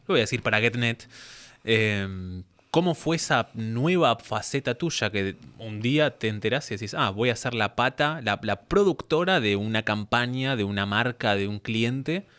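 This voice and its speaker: A man's voice: on the low side, fairly bright tone, clear, resonant tone. A man with an Argentine Rioplatense accent, hosting a casual conversational interview. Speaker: interview host.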